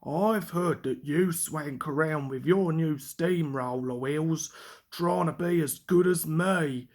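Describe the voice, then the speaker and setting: A woman's voice: deep voice, darkish tone. A woman voicing a rude, proud male steamroller with a haughty manner in a children's storybook. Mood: casual, mocking. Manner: sneering, slow, haughty.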